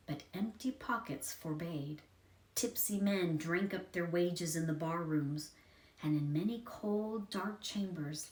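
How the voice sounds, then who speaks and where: A woman's voice: lowish voice, echoey room, poor audio. A woman reading a children's story aloud as a scripted narration.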